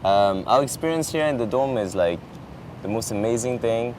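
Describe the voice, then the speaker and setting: A man's voice: mid-range voice, animated, moderate pace, a little mellow. A young man with French as his native language, an exchange student chatting casually outdoors on a campus lawn, full of fillers and restarts. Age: young adult. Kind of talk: casual chat. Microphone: camera at a distance. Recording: outdoor traffic noise behind.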